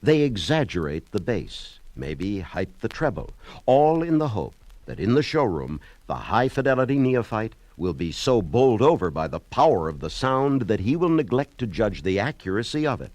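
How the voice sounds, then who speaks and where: A man's voice: lowish voice, very animated, moderate pace, a little mellow, no echo. A man announcing in scripted narration, mock-solemn and formal.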